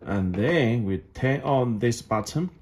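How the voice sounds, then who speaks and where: A man who speaks English as a second language gives an unscripted step-by-step product demonstration, halting and repeating phrases as he goes. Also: mid-range voice, lively intonation, darkish tone, slight background noise. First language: not English.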